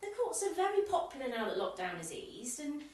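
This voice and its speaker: A gossipy committee woman with a complaint to air, acted by a woman in a radio comedy. Her high voice is lively and arch, set in a very echoey room. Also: fairly quick, recorded from a distance. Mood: complaining. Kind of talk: amateur radio comedy play acting. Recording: very echoey, off the mic.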